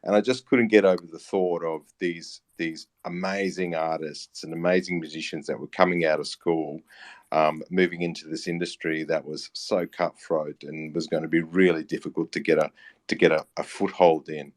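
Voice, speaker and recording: A man in his late thirties with an Australian accent talks casually as an interview guest. His voice is low, and his delivery is matey and halting. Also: steady tone. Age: late 30s. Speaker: interview guest, music platform founder and teacher.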